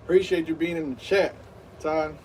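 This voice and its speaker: A man's voice: a little high, fairly even intonation, moderate pace, a little mellow, far from the mic, slight background noise, low-quality recording. Rambling man streaming a football game. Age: 30s. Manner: rambling, many fillers, hyped play-by-play. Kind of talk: live game stream commentary.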